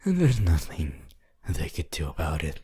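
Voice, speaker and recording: A voice actor playing a possessive, unhinged yandere lover in an ASMR roleplay, slow, intimate and breathy, swinging between tender and manic. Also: very deep voice, wildly swooping pitch, exaggerated voice. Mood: bitter, bloodthirsty. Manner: slow, drawn-out, intimate, swelling from whispers to loud outbursts.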